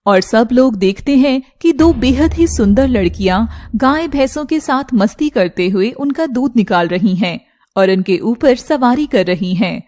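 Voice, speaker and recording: A woman narrates a cartoon tale in a high voice. The delivery is scripted storytelling in the third person. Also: expressive, measured pace, darkish tone.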